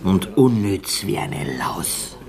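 A man's voice: deep voice, sing-song, creaky, whispered, heavily acted. An actor playing a bitter, cynical drunk man, sneering and goading in a German radio drama. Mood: bitter scorn. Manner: sneering, provocative, drawn-out phrasing. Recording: busy crowd noise behind voice. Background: crowd chatter.

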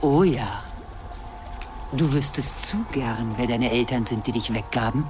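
A woman's voice: very deep voice, measured pace, a little mellow. An actress playing an eerie, ancient forest witch in a radio drama, cryptic and taunting as she speaks in riddles. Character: eerie forest witch calling herself old crow, ancient yet young-looking. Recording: music behind the voice.

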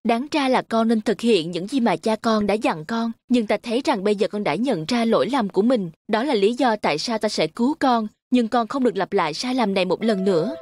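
A woman narrator voicing a gentle but firm motherly fairy in a children's storybook cartoon. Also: a little high, expressive, a little fast, few pauses, no room sound. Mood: firm, kind.

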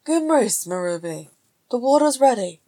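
Woman as a cheerful, high-voiced dwarf husband. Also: lively intonation, normal speed, a little mellow, breathy edge, dry recording. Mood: affectionate. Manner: cheerful, calling out.

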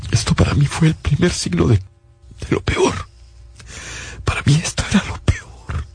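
A male actor playing a horrified eyewitness in a radio drama, halting and stammering as he recounts what he saw. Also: a little high, vocal fry, slightly rough, full character voice. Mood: horrified, distressed. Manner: halting, stammering, repeats and corrects himself. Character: shaken male eyewitness recounting a monster invasion, horrified, faltering. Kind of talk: dramatized audiobook radio-play narration. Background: loud dramatic music.

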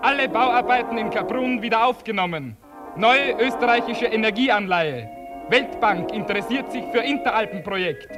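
An actor playing a street newspaper seller crying out headlines in a radio drama, with music behind him. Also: male voice, sits high, moderate pace, lightly acted. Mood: urgent, hawking. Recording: loud music under the voice.

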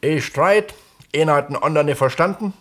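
An actor playing a modest, middle-aged villager who talks plainly and haltingly in a naturalistic radio play. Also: male voice, on the high side, a bit bright, natural voice. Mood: hesitant, earnest. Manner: halting, plain-spoken, hesitant. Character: modest, thoughtful resettled villager who wrote a novel. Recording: 1970s East German studio radio drama.

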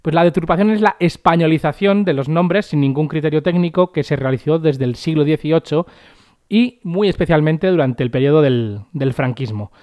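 A man's voice: fairly high pitch, a little mellow, no room sound. A podcast host with a Madrid Spanish accent, a man explaining things in a wry, chatty way and laughing at his own jokes. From Madrid, Spain.

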